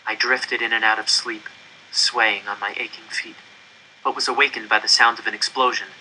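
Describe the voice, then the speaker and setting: A man reading a first-person story aloud in an expressive, deliberate, dramatic way. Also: on the low side, a little hiss or hum, poor audio. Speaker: audiobook reader.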